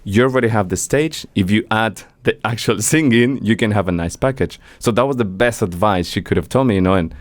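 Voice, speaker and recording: A young man from Mexico, a native Spanish speaker, talks as a singer-songwriter guest in a radio interview. He has a fairly low voice and tells his stories in an animated way, often breaking into laughter. The sound is dry and close, with no room echo. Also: a little fast, hardly any pauses. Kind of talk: casual interview chat.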